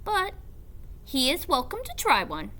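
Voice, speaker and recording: A woman reader acts out an elderly lady librarian from a storybook, proud and genteel. The voice is high and slow, with dignified, lively swoops as the tale is told. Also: heavily acted. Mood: gracious.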